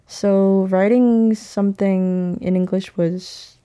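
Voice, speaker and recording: A woman's voice: mid-range voice, fairly even intonation, takes it slowly, mellow, clean tone. A woman singer-songwriter chatting in a casual interview, talking thoughtfully and laughing often.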